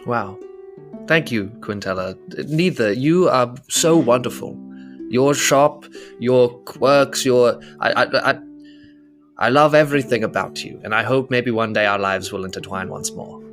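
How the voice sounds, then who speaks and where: A man playing an earnest, awkward adventurer in a tabletop game, tender-hearted, speaking in a halting, apologetic way and repeating himself. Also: mid-range voice, a bit bright, faint music behind, lightly acted.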